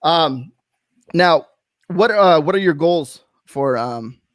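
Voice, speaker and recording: A man's voice: a little high, lively intonation, slow pace, no room sound, studio quality. A man hosting a casual video-call interview, rambling with frequent restarts and fillers. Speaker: host.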